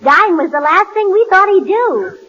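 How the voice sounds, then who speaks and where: A woman plays a cheerfully illogical scatterbrain in a 1940s radio comedy. Her voice is very high, bright and lively. It comes through a muffled old broadcast. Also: moderate pace.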